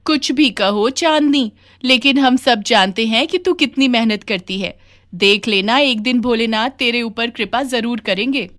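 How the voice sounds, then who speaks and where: Woman acting a chatty, high-pitched village neighbour. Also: unhurried, fairly bright tone. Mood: encouraging. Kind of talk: acted dialogue in a children's moral story.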